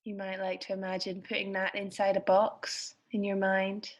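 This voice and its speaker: A woman speaks as a meditation guide, with a medium-pitched voice. Her delivery is soothing and gentle, giving soft instructions in short, unhurried phrases with room left between them. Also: completely flat delivery.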